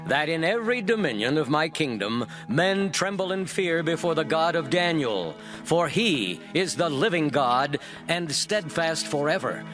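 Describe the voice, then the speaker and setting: A solemn biblical king with a raised, animated voice. He declaims grandly, full of anguished care, in an audio drama set over clear music. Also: a little high. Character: King Darius, solemn ruler anguished over his servant. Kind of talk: dramatized scripture reading.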